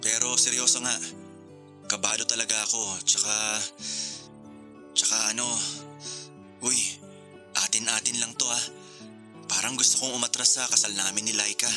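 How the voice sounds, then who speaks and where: Actor as conflicted young groom, medium-pitched, colloquial and hesitant, with clear music behind him. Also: male voice, fairly even intonation, normal speed, pauses often, natural voice. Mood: nervous, confiding. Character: young engaged man, joking yet hesitant and conflicted. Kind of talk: radio drama dialogue.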